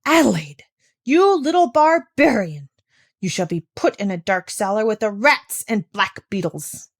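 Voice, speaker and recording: A woman's voice: mid-range voice, animated, unhurried. A woman voicing a stern, easily horrified governess in a storybook, with an imperious, commanding manner. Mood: furious.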